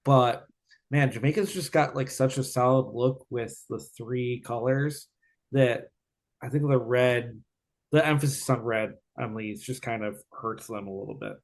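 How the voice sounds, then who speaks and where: A man's voice: mid-range voice, measured pace. Laid-back man hosting a podcast. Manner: relaxed, slangy and upbeat. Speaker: podcast host. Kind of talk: casual chat.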